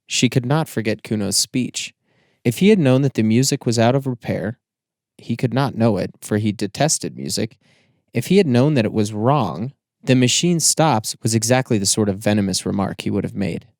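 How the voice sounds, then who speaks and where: A man reading scripted literary narration for an audiobook in a measured, formal storytelling manner. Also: medium pitch, darkish tone, no room sound, clean studio sound.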